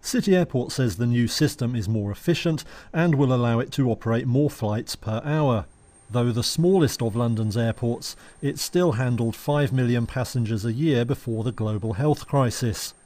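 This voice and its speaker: A male news reporter delivers scripted narration. His pitch sits in the middle range for a man, and he reads in the measured manner of a broadcast report. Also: mellow.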